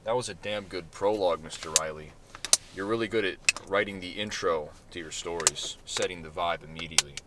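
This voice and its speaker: A man reading his own short story aloud in a deadpan manner, with self-mocking asides. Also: low voice, a little fast, very noisy.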